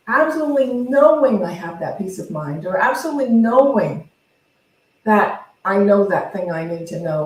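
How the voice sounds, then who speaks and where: Woman minister, unhurried and conversational spiritual talk, recorded from a distance in a very reverberant space. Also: mid-range voice, darkish tone. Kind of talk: informal teaching talk to a congregation. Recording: very echoey room, distant microphone.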